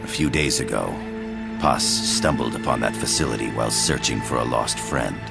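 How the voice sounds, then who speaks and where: Older professor, extremely deep, creaky. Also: male voice. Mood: solemn. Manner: formal, measured, earnest. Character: courteous, grave older male Costa Rican professor, pleading. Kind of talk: dramatic game cutscene acting. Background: loud dramatic music.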